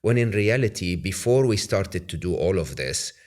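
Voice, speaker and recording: A man's voice: low-pitched, animated, normal speed, darkish tone. A man in his fifties from Egypt, hosting a podcast, who speaks thoughtfully with frequent hesitant restarts. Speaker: podcast host. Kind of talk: conversational interview. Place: bright room with plants. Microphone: podcast mic on an arm.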